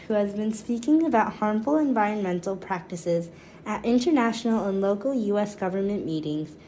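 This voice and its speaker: A woman reads informational notes from a children's book aloud. Her voice has an average pitch, and a faint layer of background noise sits behind the reading. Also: mellow. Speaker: reader for a children's reading program. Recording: clearly noisy background.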